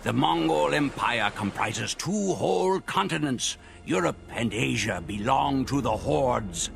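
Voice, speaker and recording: A man playing a proud Mongol warrior who solemnly narrates grand conquests in a measured, theatrical way for a game. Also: medium pitch, expressive, gravelly, a little hiss or hum. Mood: grave, resolute. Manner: measured, theatrical storytelling delivery. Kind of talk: dramatic scripted narration.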